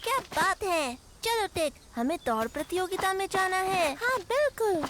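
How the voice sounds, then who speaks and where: An actor voicing an excitable young animal cub in a children's cartoon, eager and exclamatory. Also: very high voice, unhurried, background noise. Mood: excited.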